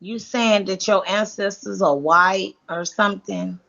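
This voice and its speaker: A host chats casually while reacting to a clip, with a loose delivery that trails off and restarts. The pitch sits in the middle, and the audio is dull and lo-fi. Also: fairly even intonation, measured pace, gravelly, bright, forceful tone. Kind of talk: casual chat.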